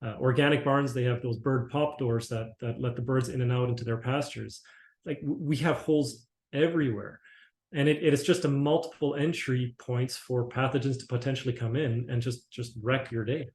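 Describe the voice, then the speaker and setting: A man's voice: mid-range voice, fairly even intonation, moderate pace, a little mellow. A man from Canada giving a webinar presentation for his company, rambling with many restarts and fillers. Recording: echoey room.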